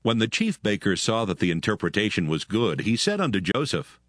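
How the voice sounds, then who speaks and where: A man's voice: fairly low pitch, big pitch swings, fairly quick, fairly bright tone, no echo, clean studio sound. A man narrating scripture as a solemn storyteller in a dramatized audio style. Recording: music behind the voice.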